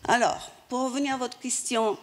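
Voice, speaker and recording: A woman interpreting a panel speech live into French, measured and halting, restarting phrases as she goes. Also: fairly high pitch, fairly even intonation. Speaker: simultaneous interpreter.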